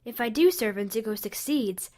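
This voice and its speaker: A man voicing an anxious, dutiful servant in a high, squeaky put-on voice for an audio drama. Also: expressive, normal speed, darkish tone. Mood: anxious, torn. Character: anxious, dutiful servant fretting over serving a prince.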